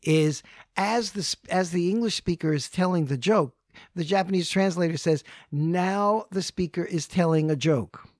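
Podcast co-host, male, somewhat high-pitched, unhurried storyteller, dry booth sound. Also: no room sound. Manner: storytelling, thoughtful, many restarts. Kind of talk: casual podcast conversation.

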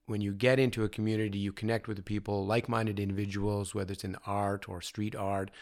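A man's voice: on the low side, little pitch movement, fast talker. A man speaking as an artist guest in an interview, thoughtful and rambling with many fillers, recorded in his studio. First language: not Czech; grew up in North America. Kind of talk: conversational interview.

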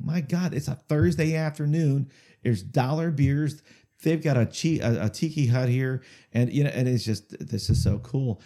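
A man chatting in an interview about his music, talking in a halting way with lots of fillers, restarts and repeated words, chuckling now and then. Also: mid-range voice, mellow. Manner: chuckles through stories. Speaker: musician guest. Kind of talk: casual rambling interview storytelling.

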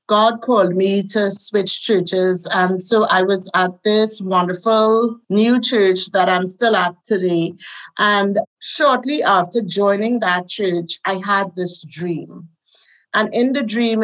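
A woman's voice: mid-range voice, rough recording. Trinidadian woman telling her story. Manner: warm storytelling with soft laughs. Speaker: guest. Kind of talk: conversational interview.

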